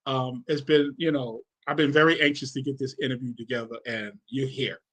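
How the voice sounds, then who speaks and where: A man's voice: medium pitch, steady tone, brisk, fairly bright tone. A man from New York hosting a radio show, chatting with a guest in a hyped, enthusiastic way. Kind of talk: casual interview chat.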